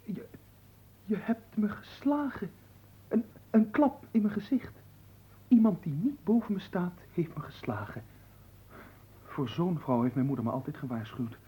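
An actor in a Dutch radio play voicing a cheeky, flirtatious manservant, theatrical and coaxing, often dropping into hushed pleading. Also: male voice, sits high, expressive, measured pace, pauses often, husky, heavily acted. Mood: mock wounded. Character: cheeky, flirtatious manservant, smooth-talking and playfully servile.

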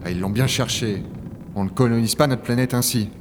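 Low-voiced gruff dragon hunter played by a man. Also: brisk, a little hiss or hum, lightly acted. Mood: familiar, exasperated. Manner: colloquial, swearing, matter-of-fact. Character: Owen, gruff male dragon hunter, impatient but well-meaning. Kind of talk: French science-fiction audio drama with first-person narration. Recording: music behind the voice.

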